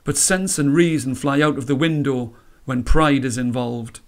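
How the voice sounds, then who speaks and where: A man reading a story aloud as a storyteller, with measured, dramatic phrasing. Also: medium pitch. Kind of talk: expressive scripted storytelling.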